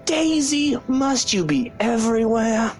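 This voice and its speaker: An actor playing an arrogant, sulky young husband, sarcastic and dismissive. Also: male voice, very high voice, expressive, slow, full character voice. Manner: sarcastic, dismissive, theatrical swoops. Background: faint game music.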